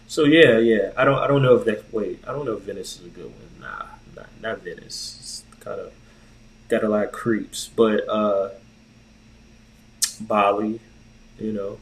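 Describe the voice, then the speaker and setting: Male podcast co-host, lowish voice, loose slangy talk with hesitant phrasing, echoey room sound. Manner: rambling, hesitant, halting delivery with slang. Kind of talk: casual conversation.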